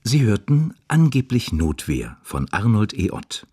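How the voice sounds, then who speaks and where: A man's voice: on the low side, very animated, measured pace, warm tone, a little hiss or hum. A man reading scripted closing credits as a radio drama announcer.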